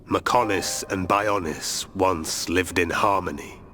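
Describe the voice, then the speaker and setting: A grave video game war leader with a low voice and a very slow, measured pace. He speaks formally and solemnly, weighed down by sorrow and old vengeance. Music plays clearly behind him. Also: sharp tone, full character voice. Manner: solemn, formal, deliberate delivery. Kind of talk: dramatic video game cutscene acting.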